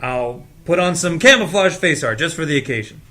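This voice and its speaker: A man's voice: fairly high pitch, expressive, a little fast, sharp tone. A man acting an eager, trusting young man, playful and a little naive, in an audio drama read. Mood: excited, playful.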